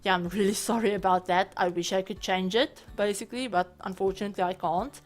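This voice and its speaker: Hoarse, chatty woman gamer. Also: medium pitch, fairly even intonation, a little fast. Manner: chatty, apologetic, lots of fillers. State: hoarse, raspy voice. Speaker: gaming channel host. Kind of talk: casual voice-over commentary. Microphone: home voice-over mic.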